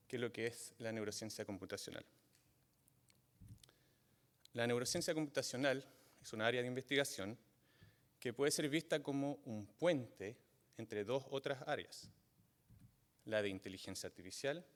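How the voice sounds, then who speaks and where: A man, not from Chile, giving a university guest lecture, halting often with fillers and restarts. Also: mid-range voice. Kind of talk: academic lecture. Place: lecture hall. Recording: long reverb of a lecture hall.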